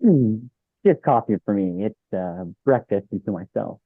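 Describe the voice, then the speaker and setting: A man's voice: lowish voice, lively intonation, measured pace, breathy edge, no room sound, full character voice. Man acting a sarcastic joker, tinny phone-like sound. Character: sarcastic, joking young office worker. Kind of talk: table read of a sitcom script. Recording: thin phone-like line, dry.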